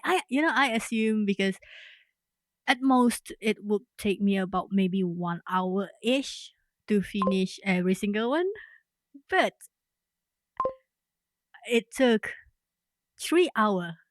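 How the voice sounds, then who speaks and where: A woman's voice: medium pitch, slow pace, long pauses, no room sound. A woman streamer wrapping up a game stream in casual chat, rambling and correcting herself as she goes. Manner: rambling, self-correcting, trailing off.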